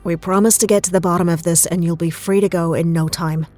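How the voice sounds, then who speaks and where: Dark-toned, calm woman agent acted. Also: lowish voice, soft background music, lightly acted. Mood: placating. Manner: measured, reassuring. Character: calm, conciliatory female FBI agent. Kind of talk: audio drama acting.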